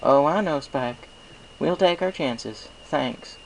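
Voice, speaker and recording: A man's voice: a little high, animated, slow pace, vocal fry, a little hiss or hum, pitched above the performer's own voice, heavily acted, rough recording. A man voicing a no-nonsense country mare pony in a storybook reading, with a folksy, emphatic manner. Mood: exasperated.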